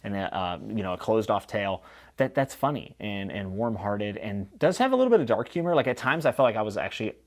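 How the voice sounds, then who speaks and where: A man's voice: on the low side, very animated, quick pace. A man hosting a casual book review vlog, talking in an informal, enthusiastic and emphatic way. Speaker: book review channel host. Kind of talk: casual monthly book wrap-up to camera.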